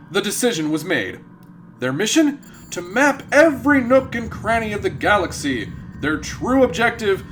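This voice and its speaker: Man, comic radio narrator, high-pitched, swooping dramatic intonation, wry, faint background noise. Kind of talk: theatrical comic scripted narration.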